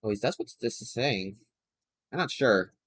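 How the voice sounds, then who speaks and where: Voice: mid-range voice, animated, normal speed, fairly bright tone, breathy edge. A game streamer giving casual, rambling commentary while playing, often exclaiming in mild bewilderment. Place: indoors, live stream with game music behind the voice.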